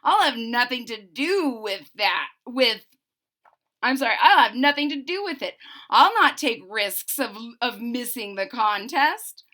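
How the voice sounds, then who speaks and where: A woman's voice: fairly high pitch, fairly quick, few pauses, sharp tone. A woman voicing a stubborn, selfish young man in a storybook reading, curt and insistent. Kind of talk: storybook read-aloud.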